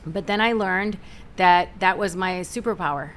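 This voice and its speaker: A middle-aged American woman speaks as an interview guest with a somewhat low voice. She tells her stories with energy and animation. A faint hum of noise sits under the recording. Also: moderate pace, fairly bright tone. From United States. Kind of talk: conversational interview.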